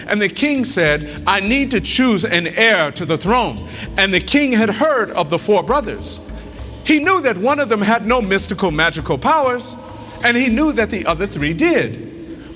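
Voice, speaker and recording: A man's voice: high-pitched, very animated, moderate pace, fairly bright tone, faint music behind. A man telling a folktale aloud to an audience in a dramatic way, calling out to his listeners. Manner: dramatic, call-and-response with the audience. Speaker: storyteller.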